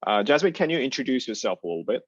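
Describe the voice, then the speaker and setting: A man's voice: medium pitch, sing-song, rapid-fire, no room sound. A man interviewing a student guest, hesitant with frequent "uh" fillers. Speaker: interviewer host. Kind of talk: hosted interview. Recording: dry, dull lo-fi sound.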